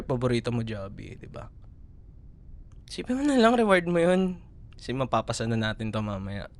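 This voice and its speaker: Casting: male actor as young Filipino college boyfriend for ASMR roleplay, mid-pitched with noticeable fry, tender and teasing. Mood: affectionate, playful. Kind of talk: ASMR boyfriend roleplay, intimate conversational acting.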